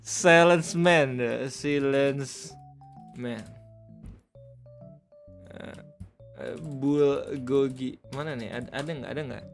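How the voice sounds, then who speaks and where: A man's voice: medium pitch, fairly even intonation, extremely slow, pauses often, soft background music. A man hosting a livestream, reacting casually, joking and laughing at himself. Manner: jokey, laughs often, mutters to himself. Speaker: livestream host. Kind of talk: casual reaction stream chat.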